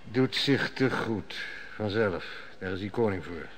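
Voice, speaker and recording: A sly, sardonic royal steward. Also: male voice, fairly low pitch, expressive, unhurried, a little hiss or hum, rough recording. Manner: sardonic, mocking. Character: sly cynical male royal steward, heavy drinker. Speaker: court steward. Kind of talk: 1960s radio drama acting.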